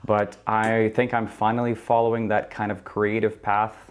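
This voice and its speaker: Man, 20s, from Ukraine, low voice, thoughtful interview guest. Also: level tone, moderate pace. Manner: earnest, thoughtful, with frequent ums. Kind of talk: reflective interview answers. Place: indoor studio.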